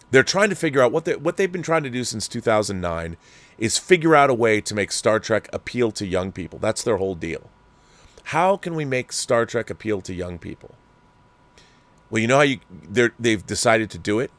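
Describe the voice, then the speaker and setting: A man hosting a show, ranting in heated, profane commentary and reading viewer comments. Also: dry recording. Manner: heated, profane, lively pitch swings. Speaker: show host.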